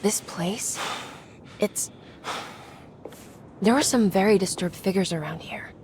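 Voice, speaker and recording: A woman's voice: mid-range voice, slow, darkish tone, a little hiss or hum, natural voice. Actress as earnest, determined young woman investigator. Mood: concerned, hushed. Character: worried, determined young woman investigating her family's mystery. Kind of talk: video game cutscene acting. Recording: background music under the voice.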